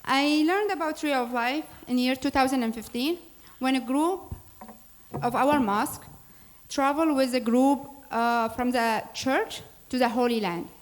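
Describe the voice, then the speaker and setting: Fairly high-voiced woman. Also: unhurried. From Syria. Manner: earnest, with small grammar slips and restarts. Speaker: board president. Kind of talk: speech introducing a program. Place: church hall.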